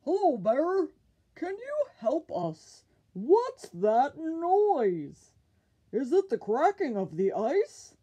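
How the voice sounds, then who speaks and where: A woman voicing a puzzled, polite ringed seal in a storybook, pleading for help with drawn-out questions. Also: high-pitched, huge pitch swings, warm tone, pitched above the performer's own voice, exaggerated voice. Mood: pleading, curious.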